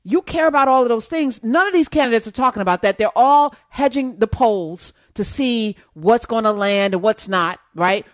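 A woman hosting a casual political chat, talking in an animated, emphatic and teasing way. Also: fairly high pitch, no echo, studio quality. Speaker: host.

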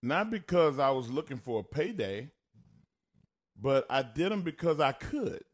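A man's voice: mid-range voice, big pitch swings, measured pace, slightly rough. A man with a Texas country accent, co-hosting a casual podcast chat and telling stories in an easygoing way. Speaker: podcast co-host.

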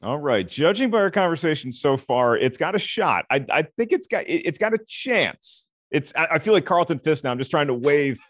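A man with a deep voice, a film critic chatting as a podcast guest and telling relaxed stories. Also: normal speed, hardly any pauses, no room sound. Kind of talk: podcast conversation.

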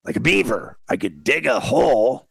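Gravelly man in his fifties, griping casually. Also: medium pitch, big pitch swings, moderate pace, fairly bright tone, dry recording. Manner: self-deprecating, exasperated griping, slangy. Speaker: talk show host. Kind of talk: casual rambling comic rant.

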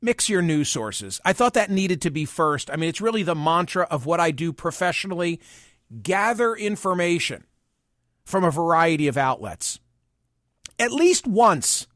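A man's voice: high voice, fairly bright tone, dry recording, clean studio sound. Wry man in his sixties hosting. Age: 60s. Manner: measured, deliberate, wry. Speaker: podcast and radio host. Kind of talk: reflective personal monologue.

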